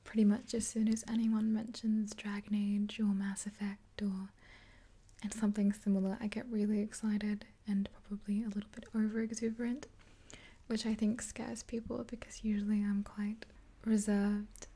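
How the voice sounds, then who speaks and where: A young woman speaks in a breathy, mellow whisper right up at the microphone. Her delivery stays even and unhurried, with small hesitations, like a soothing one-sided ASMR roleplay with a friend. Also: medium pitch. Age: 20s. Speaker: ASMR video creator playing a makeup artist friend. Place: small indoor room, dark backdrop. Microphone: close to the mic, facing camera.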